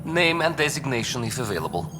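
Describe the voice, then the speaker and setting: An actor playing a dry-witted, reflective officer in a video game, speaking in a deliberate, deadpan way. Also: male voice, mid-range voice, natural voice. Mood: dry, formal. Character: dry-witted, reflective male cryo bay officer, former teacher. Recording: clear background noise.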